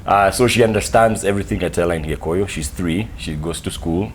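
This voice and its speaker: A man and father talking quietly and haltingly about his young daughter in a family interview. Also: deep voice, fairly even intonation, a little hiss or hum. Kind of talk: casual interview conversation.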